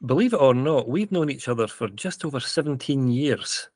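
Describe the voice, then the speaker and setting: A man hosting a podcast, speaking in a measured, formal way. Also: medium pitch, animated, dry recording. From Scotland. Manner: measured and formal, with a gentle introduction. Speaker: podcast host. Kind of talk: podcast interview.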